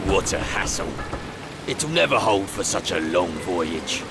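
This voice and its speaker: A man playing a shifty, grumbling bandit villain in a cartoon game, with a gravelly, sneering delivery. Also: low voice, very animated, unhurried, background noise, exaggerated voice. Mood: irritated. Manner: sneering, evasive. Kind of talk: video game cartoon acting.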